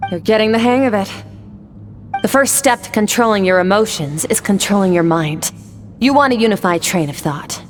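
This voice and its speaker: A woman playing a stern, cool-headed female warrior mentor in a video game, speaking in a composed, measured, matter-of-fact way. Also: mid-range voice, expressive, natural voice. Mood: cool approval. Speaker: combat trainer. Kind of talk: scripted video game voice acting. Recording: long reverb, music underneath.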